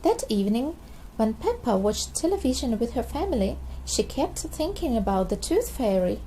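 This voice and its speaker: A woman narrating a picture book aloud for young children in an expressive style. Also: fairly high pitch, normal speed, slight background noise, rough recording. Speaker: storybook narrator.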